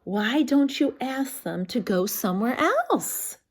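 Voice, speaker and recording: A woman voicing an excitable, cheerful male pig from a picture book, eager and bright. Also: sits high, slow, breathy edge, exaggerated voice.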